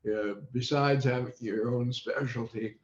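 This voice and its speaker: An elderly man reminisces unscripted as an interview guest. His delivery is very slow and measured, broken by long pauses. The sound is that of a video call, muffled and noticeably roomy. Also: medium pitch, steady tone. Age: 80s or older. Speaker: interview guest, former radio quiz show child panelist.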